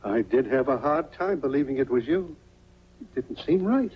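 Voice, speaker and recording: An actor playing a skeptical, brisk small-town doctor with a formal, questioning manner in 1940s film noir style. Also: male voice, medium pitch, expressive, slightly rough. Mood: doubtful, softening. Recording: muffled, humming, noisy 1940s film soundtrack.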